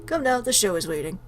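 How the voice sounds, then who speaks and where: A woman reading visual novel dialogue aloud as a gaming video host. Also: mid-range voice. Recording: prominent game music behind voice.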